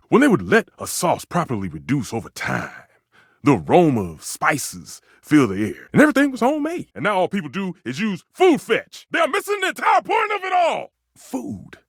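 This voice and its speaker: Give this one to a proud, food-obsessed stove who thinks like a master chef. His voice is slightly husky and sits a little high for a man, leaping wildly up and down. He speaks in pompous, flowery phrases and flares into exasperation, all in a close, dry video game sound. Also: huge pitch swings, moderate pace, dry recording, full character voice. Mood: haughty, irritated. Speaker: voice actor in a dating simulator game. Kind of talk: theatrical video game character acting.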